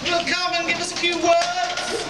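A man acting as a town crier, giving a slow, bellowing ceremonial proclamation in a crowded indoor hallway. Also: very high voice, completely flat delivery, over loud music. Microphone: far camera. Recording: echoey, distant, noisy hallway.

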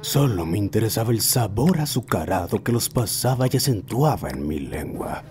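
Man playing a solemn, low-voiced obsessive old narrator. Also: big pitch swings, moderate pace, darkish tone, a little hiss or hum. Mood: brooding, solemn. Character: obsessive old man recounting his lifelong teeth fixation. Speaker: amateur narrator of a horror short. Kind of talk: scripted first-person horror narration.

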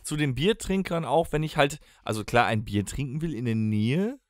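A man's voice: mid-range voice, animated, normal speed, no room sound, clean studio sound. A man chatting casually as a podcast host, with wry interjections among bandmates.

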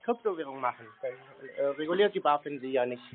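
A man's voice: mid-range voice, expressive, fast talker. A man in an argumentative phone call, blunt, insulting and insistent with the person he is confronting. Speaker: prankster confronting phone scammers. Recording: tinny phone line with faint noise.

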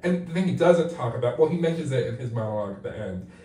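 A man in his forties talks through his experiences in a candid, thoughtful interview, filling his sentences with "like." His voice is mid-pitched and comes from a distance, with a hard echo off the tiles of a bathroom. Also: animated, quick pace, dark tone. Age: 40s. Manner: thoughtful, reflective, many "like" fillers. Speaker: guest playwright. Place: bathroom, sitting in a bathtub. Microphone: far camera. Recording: echoey bathroom, mic at a distance.

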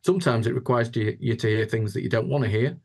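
A man's voice: medium pitch, fast. A man, giving reflective interview answers on a video call and often repeating words as he goes. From Yorkshire, England. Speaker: interview guest, housing chief executive.

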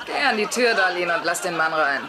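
A woman's voice: on the low side, expressive, brisk, a loud crowd, close to an everyday voice. An actress playing a blunt, wisecracking middle-aged mother in a German-dubbed sitcom, sardonic and bossy. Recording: noisy TV sitcom soundtrack.

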